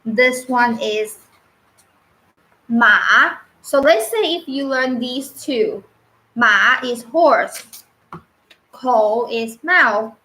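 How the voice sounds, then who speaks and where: A woman whose first language is Mandarin Chinese, teaching on a live stream, unscripted and halting with fillers and restarts. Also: on the high side, sharp tone, low-quality recording.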